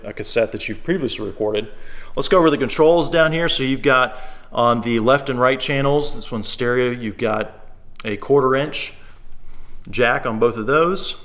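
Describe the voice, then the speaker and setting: Easygoing man demonstrating a product. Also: mid-range voice. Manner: easygoing, plain-spoken, thinking aloud as he goes. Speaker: secondhand shop presenter. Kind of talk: casual unscripted product demonstration.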